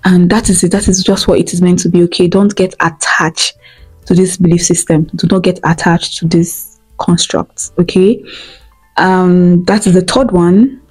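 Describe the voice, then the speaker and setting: Young Nigerian woman with an Igbo accent, earnest motivational vlogger, lowish mellow voice, lapel mic, faint music under. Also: fairly even intonation, moderate pace, slightly rough, rough recording. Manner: earnest, repeats key points, checks in with "okay?". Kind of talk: motivational talk to camera. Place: indoor room at home.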